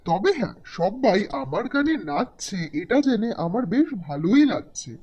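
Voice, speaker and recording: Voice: medium pitch, sing-song, moderate pace, darkish tone, husky, muffled recording. An actor voicing a poor, naive, aging village peanut seller in a cartoon, in a simple, folksy, bashful manner. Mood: bashful, pleased. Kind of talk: Bengali cartoon voice acting.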